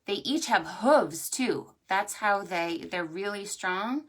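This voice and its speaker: A woman hosting a preschool storytime, reading aloud and chatting to young children in an expressive, sing-song way. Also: mid-range voice, slow pace, slightly rough. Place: at home or indoors, livestreamed.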